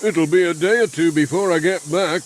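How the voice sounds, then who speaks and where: A man's voice: a little high, normal speed, slight background noise, pitched above the performer's own voice, close to an everyday voice, low-quality recording. A British man voicing a busy village handyman in a children's audio story, chatty and emphatic.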